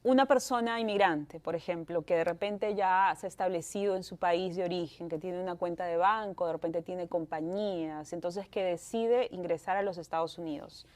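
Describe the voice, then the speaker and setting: A woman's voice: lowish voice, normal speed, few pauses. A woman in her thirties from Peru with a Peruvian Spanish accent, a lawyer answering interview questions in a chatty way and slipping in English words. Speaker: guest lawyer.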